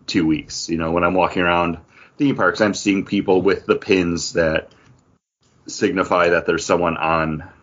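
Male podcast co-host with a deep voice, explanatory and choppy phrasing, somewhat dull lo-fi sound. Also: steady tone, measured pace, fairly bright tone, slight background noise. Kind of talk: casual chat about theme park attractions.

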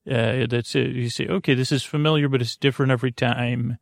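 A man hosts a sleep podcast in a creaky, fry-laden voice of middling pitch. He meanders and digresses in a halting, gently reassuring way. The sound is very dry, with no room around the voice. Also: fairly even intonation, no room sound. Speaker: podcast host. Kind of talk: rambling, meandering bedtime podcast monologue.

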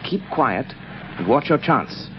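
An actor playing a suave, confident sleuth who gives crisp, authoritative instructions in 1930s radio style. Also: male voice, medium pitch, very animated, slow. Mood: cool, commanding. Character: suave, commanding male sleuth, coolly confident. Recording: muffled old radio, clear noise.

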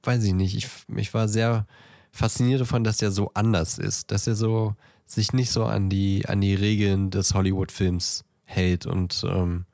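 Low, creaky-voiced man chatting casually. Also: little pitch movement, a little mellow, no echo, clean studio sound. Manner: halting, thinking aloud. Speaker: podcast co-host. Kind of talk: casual chat, ranking films.

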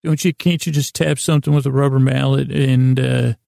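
A man with an American accent and a breathy, creaky voice hosts a sleep podcast, delivering a halting, meandering, whimsical bedtime monologue full of stammers and self-interruptions. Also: mid-range voice, steady tone, dark tone, no echo, clean studio sound.

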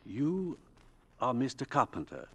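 Expressive, formal professor. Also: male voice, mid-range voice, creaky, breathy edge, exaggerated voice. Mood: courteous. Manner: formal, deliberate, probing. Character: eminent, inquisitive, courteous male science professor. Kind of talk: 1950s radio drama acting.

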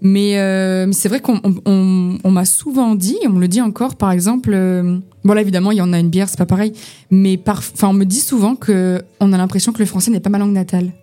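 Chatty young Frenchwoman, mellow voice. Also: medium pitch, steady tone, fairly quick. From France, Vosges. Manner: chatty, many restarts and fillers. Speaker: podcast guest, actress and poet. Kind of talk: casual chat. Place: informal gathering over beer.

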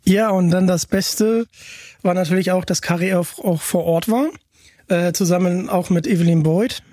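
Male podcast host, notably high voice, casual moderation, close dry recording. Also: fairly even intonation, moderate pace, dark tone, no echo.

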